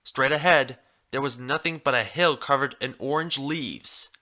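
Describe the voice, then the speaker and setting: A man narrates a written story as its reader. His voice is medium in pitch and clearly bright, with a forward edge. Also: moderate pace. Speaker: fan fiction reader.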